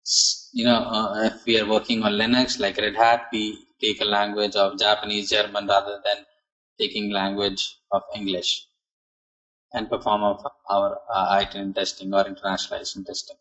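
A man teaching an online software testing class, asking and answering his own questions, with many fillers and restarts. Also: on the low side, monotone, moderate pace, low-quality recording.

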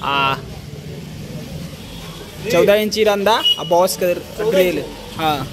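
Young man, high voice, casual vlog, phone mic, loud traffic noise around. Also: drawn-out words. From Bihar, India. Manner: chatty, mixes local dialect with Hindi. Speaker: vlogger. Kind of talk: casual vlog narration and shop chat. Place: outdoors on a road at night, then inside a small tool shop.

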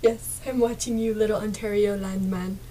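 A young woman streaming a game from her small dark bedroom, chatting casually to viewers with a sore, partly lost voice and drifting into mumbles. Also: medium pitch, steady tone, moderate pace, a little hiss or hum, rough recording. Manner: soft-spoken, drifts into whispers and mumbles. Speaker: gaming streamer.

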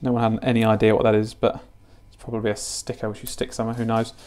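A man's voice: lowish voice, little pitch movement, fast talker, a little mellow. A British man in his twenties with a chatty, informal, matter-of-fact way of talking, hosting a casual product unboxing and tutorial. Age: 20s. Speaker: fishing channel host demonstrating gear. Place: home kitchen, indoors.

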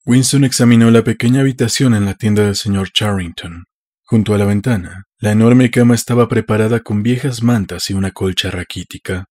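A man's voice: lowish voice, a little fast, a little mellow. A man reading a literary audiobook as a scripted narrator. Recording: music behind.

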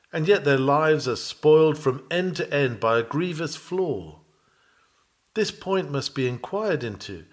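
A man with a mid-pitched voice reads a Victorian sermon aloud. His delivery is earnest and measured, with the weighty phrasing of a preacher. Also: a bit bright. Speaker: sermon reader.

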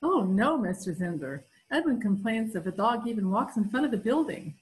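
Melodramatic wife female actor, animated and expressive, genteel but put-upon, for vintage radio comedy. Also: medium pitch, moderate pace, natural voice, poor audio. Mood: apologetic. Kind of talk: amateur old-time radio comedy recreation. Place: room at home, video call. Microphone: video call.

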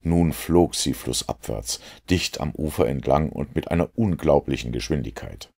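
A man with a gravelly, husky voice reading literary narration as an audiobook. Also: very deep voice, moderate pace, dark tone. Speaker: audiobook reader.

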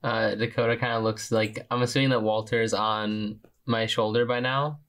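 A man playing a tabletop role-playing game, casually narrating his character's actions in a halting, word-searching way. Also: lowish voice, level tone, fairly bright tone.

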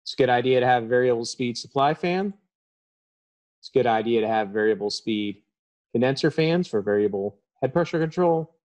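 A man's voice: medium pitch, expressive, a little fast, darkish tone. Brief: man in his thirties, trainer, plain explanatory delivery, webcam sound. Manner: explanatory, plain-spoken, frequent ums. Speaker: HVAC trainer. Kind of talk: technical presentation.

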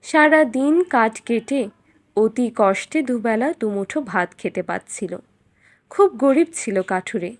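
High-voiced woman narrating a fairytale. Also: lively intonation, measured pace. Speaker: storyteller.

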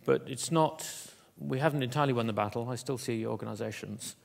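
A man giving a conference keynote in an auditorium, talking in a halting way with many fillers and restarts. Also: medium pitch, vocal fry. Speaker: keynote speaker.

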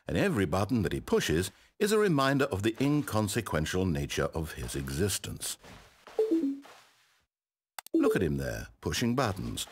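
A man's voice: sits low, husky, full character voice. A man with a British accent playing a wry, condescending, controlling narrator in a game, eloquent and theatrical. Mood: wry, pitying. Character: wry, exasperated, controlling male storyteller narrator. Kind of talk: theatrical scripted storybook narration.

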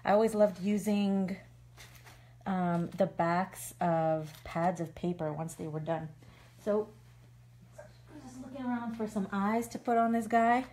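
A woman's voice: mid-range voice, steady tone, a little slow, warm tone, slight background noise. A woman chatting casually while she shows a craft for kids, filmed on a phone from overhead at an indoor table. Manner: chatty, thinking aloud with fillers. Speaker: craft video host. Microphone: phone filming from overhead.

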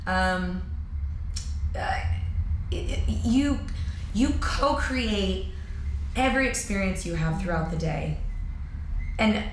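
A woman in her thirties, a fitness coach, chatting casually in an interview with a hoarse, loud, projecting voice and upbeat energy. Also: medium pitch, takes it slowly, pauses often, echoey room, slight background noise, low-quality recording. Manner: energetic, upbeat, many fillers. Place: bright studio room with sofa.

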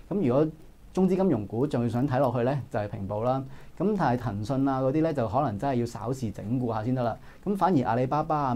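A man in his thirties with a Hong Kong Cantonese accent, giving brisk analytical stock market commentary in a studio. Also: mid-range voice, dark tone. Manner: brisk, analytical, frequent filler particles. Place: indoor studio.

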